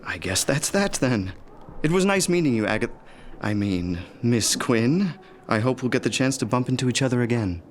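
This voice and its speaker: A man's voice: medium pitch, animated, moderate pace, a little hiss or hum, natural voice. A man playing a friendly, cheeky young house servant in a gothic audio drama, chatty and teasing. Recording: music playing behind the voice.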